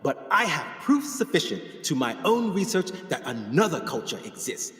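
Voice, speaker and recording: An actor playing a proud, passionate 1920s explorer and scholar in a radio play, speaking in oratorical style. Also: male voice, fairly high pitch, big pitch swings, normal speed, a bit bright. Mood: grand, persuasive. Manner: declamatory, oratorical. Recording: echoey hall with long reverb.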